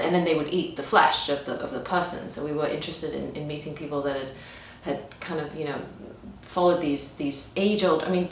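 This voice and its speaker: English woman, middle-aged, deep voice, animated storytelling, echoey room. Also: gravelly. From England. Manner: animated, chatty, with frequent restarts and fillers. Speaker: podcast guest. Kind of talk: casual conversational storytelling. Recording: roomy, distant mic with faint background noise.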